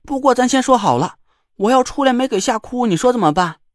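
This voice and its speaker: A man's voice: very high-pitched, expressive, brisk, no room sound, studio quality, pitched above the performer's own voice. A man voicing a bold, sassy young woman who talks in a cheeky, dismissive way.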